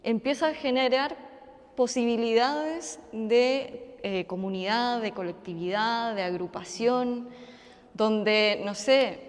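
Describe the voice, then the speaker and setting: A woman artist speaking on a panel in a reflective way, with fillers and pauses. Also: fairly high pitch, in a large hall. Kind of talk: public panel discussion. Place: community center hall.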